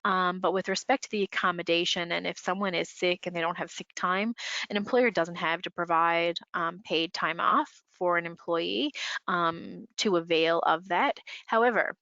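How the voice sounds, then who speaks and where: A congested woman explains workplace rules over a video call, her voice lowish yet bright and forward. She talks conversationally, leaning on "you know" and "um". The sound is dry and slightly muffled. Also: steady tone, moderate pace, no echo. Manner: filler-heavy, conversational, many "you know"s. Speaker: employment lawyer panelist. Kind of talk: webinar discussion, advice. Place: home, remote call.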